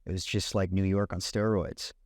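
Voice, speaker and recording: A man in his thirties, a guest content creator, talking in a relaxed way and often saying "you know" and "man". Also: low-pitched, normal speed, no echo, studio quality. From Puerto Rican family, raised in California. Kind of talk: casual interview chat.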